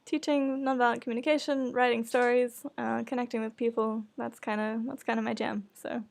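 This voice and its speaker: A woman's voice: a little high, flat delivery, a little fast, vocal fry. A woman podcast host chatting casually in an earnest, hesitant, self-conscious way. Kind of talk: casual chat.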